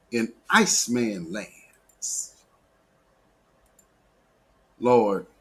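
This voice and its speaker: Animated, bright-voiced American man. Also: medium pitch. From Portland, Oregon, United States. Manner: mocking, sarcastic, conversational. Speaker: live show host. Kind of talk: casual opinionated live commentary.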